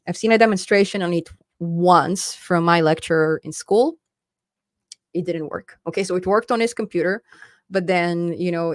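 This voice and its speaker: A woman's voice: on the low side, no room sound, studio quality. A young woman in her twenties hosting a live stream, chatting casually and upbeat as she reads out viewer comments, laughing often.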